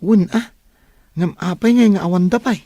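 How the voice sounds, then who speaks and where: A man's voice: high-pitched, normal speed, dark tone, no room sound, studio quality. A man acting an eager, loyal young male student in an Ilocano radio drama. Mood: eager, obliging.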